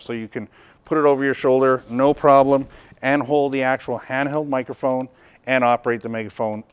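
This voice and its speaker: A man in his fifties with a medium-pitched voice presents a product review. He explains each point conversationally, in the casual style of someone demonstrating gear at a table. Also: smooth. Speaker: product reviewer and video host. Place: indoor studio workshop at a tabletop.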